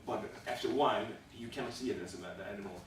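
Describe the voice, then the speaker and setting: Guy in a practice job interview, slightly low voice, lots of uhs, mic far off, hissy room. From Sweden. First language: Swedish. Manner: halting, many fillers and restarts. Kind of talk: hesitant interview answers. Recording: echoey room, distant mic, background noise.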